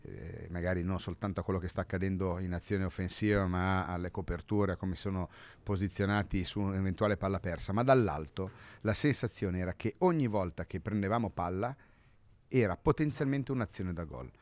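A man's voice: low voice, fairly quick, darkish tone. A man working as a TV interviewer, offering comments and questions after a football match. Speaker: TV interviewer and presenter.

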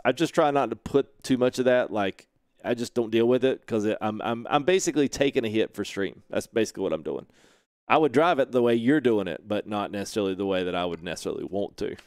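A man's voice: on the low side, a little mellow, no echo, studio quality. A man in his thirties hosting a casual gameplay livestream from a home sim rig, chatting loosely, laughing often and greeting viewers through a headset mic. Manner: laid-back, chatty, laughs often, reads chat aloud. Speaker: streamer.

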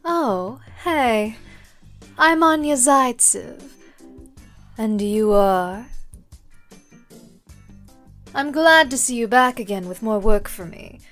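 A woman's voice: on the high side, animated, slow pace, long pauses, a bit bright, soft background music. A woman voicing a sly, amused information broker, wry and coolly sarcastic in a character demo. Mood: amused, coy.

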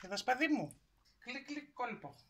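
A woman's voice: a little high, very animated, very noisy. Middle-aged woman host, homely cooking demo in a kitchen, sizzling and clatter audible behind her. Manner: homely, encouraging, explains each step. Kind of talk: home cooking demonstration. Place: home kitchen.

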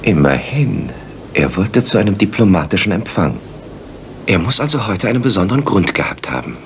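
An actor playing an anxious, loyal gentleman doctor in a radio play, with an earnest, conspiratorial manner. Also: low voice, sing-song, normal speed, slight background noise, full character voice. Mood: urgent, plotting. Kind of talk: German radio play acting.

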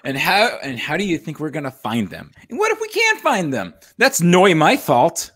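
A man's voice: on the high side, wildly swooping pitch, moderate pace, a bit bright, exaggerated voice. Man as swooping, anxious space pilot. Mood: anxious, defensive. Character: anxious, defensive young male space-smuggler pilot. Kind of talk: live online comedy table read. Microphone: desk microphone close up, video call.

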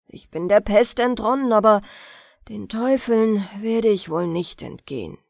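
A woman's voice: mid-range voice, lively intonation, unhurried, vocal fry, no echo, studio quality. A woman voicing a meek, frightened male landowner who pleads for his life in a fairy-tale audiobook. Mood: despairing.

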